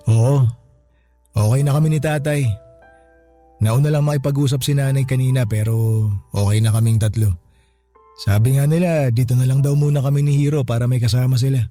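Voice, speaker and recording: Man playing mellow, humbled older brother. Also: mid-range voice, fairly even intonation, normal speed, long pauses, lightly acted. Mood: grateful, lighthearted. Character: humbled, grateful older brother, former tough guy. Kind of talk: radio drama dialogue, slangy and conversational. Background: background music.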